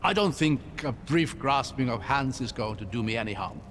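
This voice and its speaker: An actor playing a courteous, wry older scholar-traveler in a cinematic video game, talkative and good-humoured. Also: male voice, medium pitch, moderate pace, a little hiss or hum, natural voice. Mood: wry, musing. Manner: courteous, wry, expressive storytelling delivery. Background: background music.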